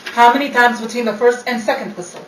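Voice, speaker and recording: A woman's voice: on the high side, fast, lightly acted. A woman playing a stern, literal-minded, curtly formal woman in an old-time radio comedy. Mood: deadpan. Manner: curt, formal, deadpan. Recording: roomy, distant-mic recording with faint noise.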